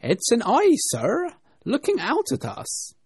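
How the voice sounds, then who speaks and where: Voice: medium pitch, wildly swooping pitch, takes it slowly, husky, no echo, studio quality, pitched above the performer's own voice, heavily acted. A narrator voicing a meek, deferential male animal servant who pleads reluctantly in a storybook reading.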